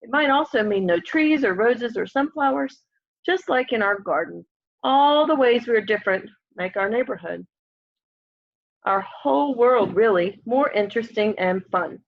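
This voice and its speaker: A young woman reads a picture book aloud to children in a fairly high, slightly husky voice. She is expressive and talks straight to her young listeners. The sound is dull and lo-fi, like a home webcam. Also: normal speed. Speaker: storybook reader. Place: room at home, indoors.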